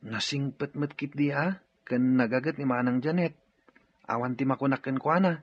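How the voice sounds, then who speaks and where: A man acting a curious, admiring younger brother in an Ilocano audio drama, with a husky voice. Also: medium pitch, normal speed, no room sound, lightly acted. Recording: dull lo-fi recording, music behind.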